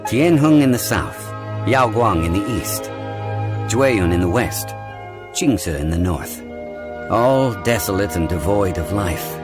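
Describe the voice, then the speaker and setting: An actor playing a composed, learned gentleman of ancient wisdom in a video game, speaking in a measured, formal, didactic way. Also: lowish voice, expressive, music in the background. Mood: solemn, storytelling.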